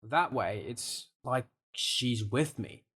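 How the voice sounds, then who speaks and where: An actor playing an evasive, polite soldier in an audio play, deferential and affectedly well-spoken. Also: male voice, mid-range voice, expressive, takes it slowly, a bit bright, husky, heavily acted. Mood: guarded, polite. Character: evasive, polite male soldier suspected of murder.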